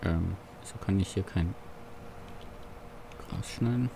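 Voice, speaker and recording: Casual male gamer, low mellow voice, faint hiss behind. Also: slow pace, gravelly. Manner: casual, businesslike in character. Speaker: farm sim roleplay player. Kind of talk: roleplay gameplay commentary.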